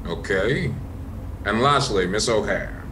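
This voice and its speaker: A low-pitched American inspector acted by a man questions people in a measured, skeptical way. It is the style of a comic radio mystery, composed and probing. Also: expressive, noisy background.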